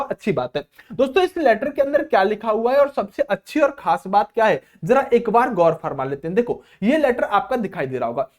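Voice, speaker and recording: A man speaking as an exam coach, addressing students informally and repeating phrases for emphasis. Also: high voice. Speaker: exam coaching teacher and channel host.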